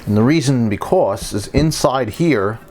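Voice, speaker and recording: A man's voice: medium pitch, expressive, measured pace, darkish tone, slight background noise. A man giving a casual, hands-on repair tutorial as a technician, talking in a plain-spoken, conversational and explanatory way.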